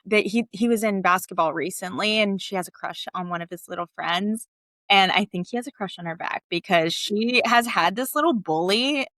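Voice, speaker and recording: Bright-voiced mother from California. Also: medium pitch, moderate pace, dry recording, clean studio sound. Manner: lively storyteller, animated. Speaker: podcast co-host. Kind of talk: casual chat.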